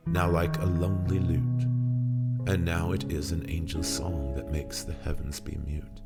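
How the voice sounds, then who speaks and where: A haunted old mariner, acted by a man, recites his ghostly sea tale in solemn, theatrical verse. His voice is extremely deep and full of gravel, over clearly audible plucked guitar music. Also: flat delivery, a little slow. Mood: hushed, wondering. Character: haunted old male sailor recounting ghostly ordeal at sea. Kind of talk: dramatic poetry recitation. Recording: music under the voice.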